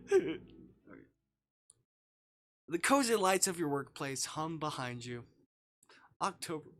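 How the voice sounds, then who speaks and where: Bright, lively streamer rambling over music. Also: mid-range voice, takes it slowly, pauses often. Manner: rambling, jokey, easily sidetracked. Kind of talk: casual livestream chat while reading a visual novel aloud.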